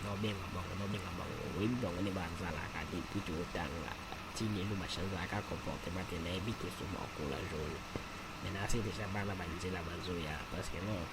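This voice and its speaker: A male actor plays a level-headed cartoon man with a deep voice. He explains casually and soothingly. Loud noise fills the background. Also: lightly acted. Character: calm, reasonable man soothing his friends. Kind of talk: casual cartoon dialogue in Lingala.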